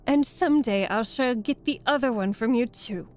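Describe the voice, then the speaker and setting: A woman's voice: fairly high pitch, moderate pace, faint music behind, voice pushed up, lightly acted. A woman voicing a wicked old witch in a dramatic storybook reading. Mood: scornful, gloating. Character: wicked old witch, scornful, wailing as she melts.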